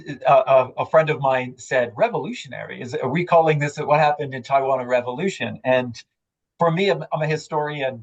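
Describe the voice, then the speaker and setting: A man moderates an academic panel, introducing the authors in a measured, somewhat halting manner. His voice sits at an ordinary pitch. The recording is dull and lo-fi. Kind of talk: introducing a book talk.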